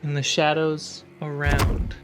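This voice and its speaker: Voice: medium pitch, monotone, takes it slowly, faint music behind. A game master narrating an improvised tabletop fantasy game and calling for rolls.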